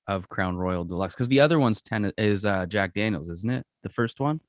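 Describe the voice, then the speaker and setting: A man's voice: fairly low pitch, animated, normal speed, dry recording, clean studio sound. A man hosting an interview, framing long, meandering questions as he searches for words. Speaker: podcast host.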